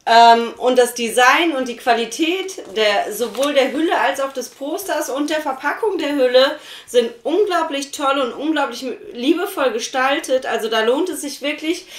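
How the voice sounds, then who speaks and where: A woman's voice: high-pitched, moderate pace, hardly any pauses. A young woman joining a review vlog, speaking earnestly and with emphasis. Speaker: guest co-reviewer. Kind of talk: casual vlog chat. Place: small room on a sofa. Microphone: camera across the room. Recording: echoey small room.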